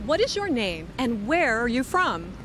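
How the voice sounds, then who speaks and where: This is a middle-aged woman reporter outdoors with a handheld mic. Her voice is high and lively, and she speaks with careful, upbeat clarity. Music plays behind her. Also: measured pace, background noise. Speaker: reporter host of an English lesson. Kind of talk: slow, clear scripted interviewing. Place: outdoors on city streets. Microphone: handheld microphone.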